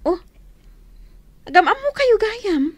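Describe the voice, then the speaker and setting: Friendly young saleswoman, radio drama: high, lilting, perky, eager to please. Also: big pitch swings, slow, no echo, exaggerated voice. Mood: cheerful. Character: cheerful, polite young saleswoman, bubbly and friendly. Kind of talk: Ilocano radio drama acting.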